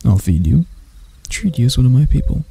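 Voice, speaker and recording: A man playing a proud elf prince in a roleplay ASMR, speaking in a measured, haughty and intimate way to the listener. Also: medium pitch, very animated, gravelly, dark tone, husky, heavily acted, rough recording. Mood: commanding, bargaining. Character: proud elf prince, stern captor softening into bashfulness.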